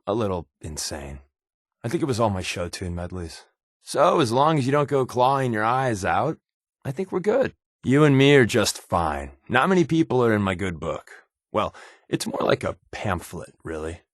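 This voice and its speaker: American man, glib spy sidekick, video game dialogue, sardonic, casual, animated intonation, dry studio sound. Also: fairly low pitch, dry recording. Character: wry, wisecracking undercover spy companion, adult man. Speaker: video game companion character.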